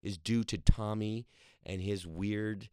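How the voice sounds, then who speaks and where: A man's voice: on the low side, takes it slowly, clear, resonant tone, no echo, clean studio sound. A man telling stories about making a film, talking casually and rambling with frequent laughs. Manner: rambling, animated storytelling with laughs. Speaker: actor and director. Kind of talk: casual interview talk.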